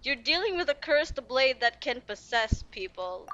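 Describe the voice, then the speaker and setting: A woman's voice: high voice, big pitch swings, unhurried, fairly bright tone, dull audio. A woman giving casual, reactive gameplay commentary, expressively talking back to the game's characters. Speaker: gaming streamer.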